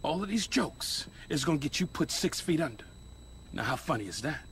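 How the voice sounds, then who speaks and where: An aggressive, offended rival in a game cutscene. His highish voice jumps around as he threatens, with faint noise behind. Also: sing-song, a little fast, fairly bright tone. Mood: angry. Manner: aggressive, confrontational.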